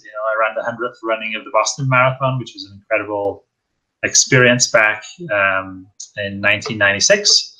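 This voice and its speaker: A man's voice: medium pitch, sharp tone, poor audio. A man, chatting in an upbeat, friendly way as a guest on an interview show. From northwest Wales. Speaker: guest, endurance cyclist. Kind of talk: casual interview chat.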